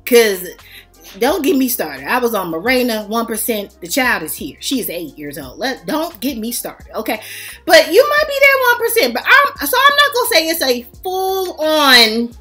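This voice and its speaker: A woman's voice: sits high, big pitch swings, normal speed, bright, forceful tone, faint music behind. A woman vlog host in a casual comedic storytime chat, playfully teasing and giving mock-serious instructions with frequent check-ins to her viewers.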